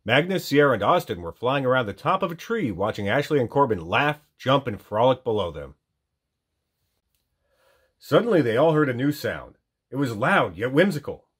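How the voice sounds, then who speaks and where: A man reading a children's storybook aloud as an animated storytime narrator. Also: medium pitch, moderate pace, husky. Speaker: storytime reader.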